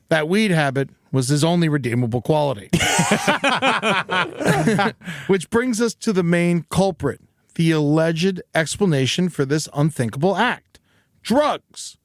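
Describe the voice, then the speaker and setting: A man hosting a comedy podcast, narrating from notes with wry, dark-humored asides. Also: a little high, no echo, studio quality. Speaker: podcast host. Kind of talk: comedic scripted narration with banter. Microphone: close studio podcast mic.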